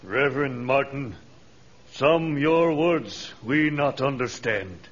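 An actor playing a grave village chief who speaks halting, simplified English in 1930s radio drama style. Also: male voice, medium pitch. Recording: dull old radio transcription.

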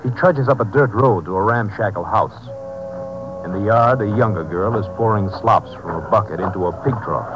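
A man's voice: on the low side, normal speed. A man narrating a scripted 1950s radio drama. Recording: muffled old-time radio recording. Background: music.

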